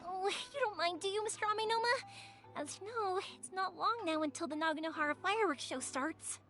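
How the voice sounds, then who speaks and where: A woman voicing a cheerful, chatty, excitable young fireworks maker in an anime-style video game. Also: extremely high pitch, fairly quick. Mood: eager, pleading. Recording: music behind the voice.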